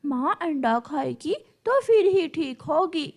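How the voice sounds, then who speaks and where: Cartoon young crow, played by a woman: high, excitable, pleading little sister. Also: takes it slowly, slight background noise, full character voice. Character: young female crow, obedient little sister and thief's helper. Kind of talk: Urdu cartoon voice acting.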